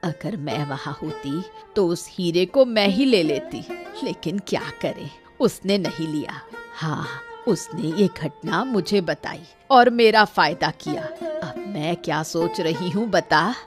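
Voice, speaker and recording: A woman's voice: fairly low pitch, expressive, measured pace, music in the background. A woman voicing a greedy, bossy, scheming old village woman in a cartoon moral story.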